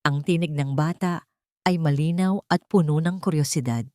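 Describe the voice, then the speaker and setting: A woman narrating a romantic audio drama in a dramatic, expressive storytelling manner. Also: deep voice, moderate pace, dry recording, studio quality.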